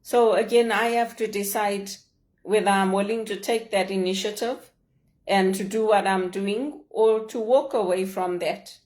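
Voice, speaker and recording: Middle-aged woman talking things through, earnest and measured, mid-pitched voice. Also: fairly even intonation, a little mellow. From Zimbabwe. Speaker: leadership vlogger. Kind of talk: personal reflective monologue.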